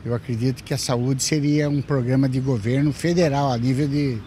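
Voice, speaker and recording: Brazilian guy answering on the street, mellow voice, a bit of background noise. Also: medium pitch, fairly even intonation, a little fast. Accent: Brazilian Portuguese. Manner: casual, tags with né. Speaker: interviewee. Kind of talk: street interview.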